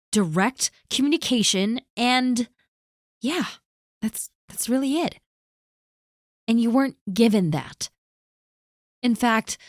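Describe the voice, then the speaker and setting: Woman, gentle earnest comforting tone, slow pace, dry close recording. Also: mid-range voice, no room sound. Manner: gentle, earnest reassurance spoken directly to the listener. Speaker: audio roleplay performer. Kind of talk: scripted audio roleplay, comforting a listener.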